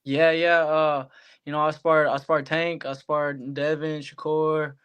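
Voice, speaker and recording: A young American man speaks in a smooth, clean voice that sits a little high. His delivery stays quite level as he gives casual, colloquial interview answers as a boxing guest. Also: flat delivery, unhurried, highs cut off. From Hawaii, United States. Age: young adult. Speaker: professional boxer, guest.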